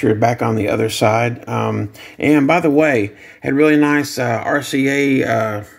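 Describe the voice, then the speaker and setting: A man giving a casual hands-on technical demonstration, explaining things in a plainspoken, halting way. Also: medium pitch, creaky. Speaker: guitar amp builder demonstrating a modification.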